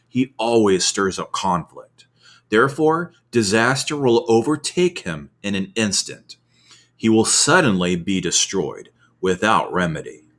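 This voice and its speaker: A man with a fairly low voice reads scripture in an ASMR style. He goes very slowly and deliberately, with wide swings of pitch that add emphasis to the phrases. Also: fairly bright tone. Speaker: reader of scripture. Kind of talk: slow dramatic scripture reading, ASMR.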